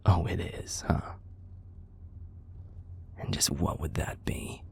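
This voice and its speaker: A very low, heavily creaking male werewolf enforcer, acted out by a man in an audio roleplay. He is jaded and menacing, with a gruff, confrontational way of talking that often sinks into a breathy half-whisper. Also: slow pace, heavily acted. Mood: mocking, skeptical. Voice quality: gravelly, husky, breathy. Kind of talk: scripted audio roleplay acting.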